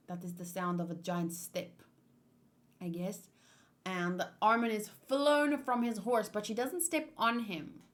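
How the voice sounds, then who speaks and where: A woman livestreaming in a casual, expressive and playful way, chatting and commenting as she reads aloud. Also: mid-range voice. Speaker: streamer hosting a manga read-along.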